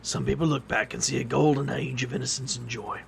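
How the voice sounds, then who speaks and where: An actor playing a weary, brooding man with a gravelly voice and dry, bitter wit in an audio drama. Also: medium pitch, steady tone, brisk, slight background noise. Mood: wry, bitter. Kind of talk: audio drama narration and dialogue.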